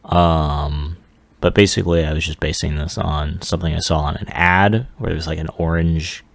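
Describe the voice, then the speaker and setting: A man with a very low voice full of vocal fry narrates a casual screen-recorded demo. He is offhand and halting, letting asides trail into a mumble. The recording is close and dry, with hardly any room sound. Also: steady tone, dry recording. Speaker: 3D animation hobbyist presenting a demo.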